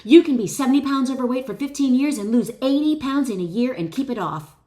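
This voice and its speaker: A woman's voice: high voice, lively intonation, fast talker, mellow. A woman author and wellness educator reading her own self-help book expressively, with motivational emphasis, stressed key phrases and informal asides.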